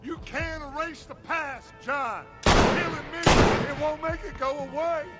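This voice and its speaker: Slow-talking aging American outlaw boss. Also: male voice, extremely high pitch, steady tone, loud music behind the voice. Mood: defiant, taunting. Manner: theatrical, deliberate, preaching. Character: aging male outlaw gang leader, defiant, fatalistic philosopher. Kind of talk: dramatic video game acting.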